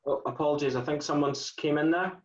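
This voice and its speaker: A man's voice: a little high, fairly even intonation, fast talker, slight background noise. A man moderating an online panel over a video call, polite and a bit hesitant. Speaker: panel host. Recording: dull, echoey, far from the mic.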